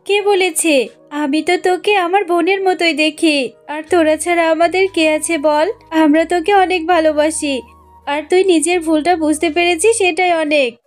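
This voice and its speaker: A woman's voice: extremely high pitch, fairly even intonation, moderate pace, faint music behind. A woman voicing a poor, kind, devout fox wife in a cartoon, speaking in an earnest, plaintive way. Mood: tender. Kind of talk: cartoon character acting.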